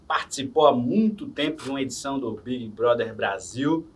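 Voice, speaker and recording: A man's voice: mid-range voice, moderate pace. A man, hosting a casual podcast interview and reading sponsor plugs. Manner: relaxed, chatty, reads sponsor plugs. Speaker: podcast host. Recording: distant mic with faint room noise.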